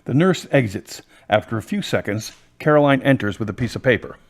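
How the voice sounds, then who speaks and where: A man narrating an amateur audio drama in a plain, steady storytelling manner. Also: mid-range voice, brisk, vocal fry.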